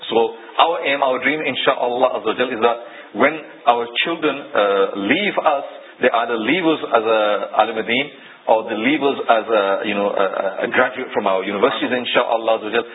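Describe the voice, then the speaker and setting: A man's voice: medium pitch, moderate pace. A man speaking conversationally about faith, repeating phrases and peppering his speech with Arabic religious expressions. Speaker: religious talk show speaker. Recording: tinny phone-like broadcast audio with noise.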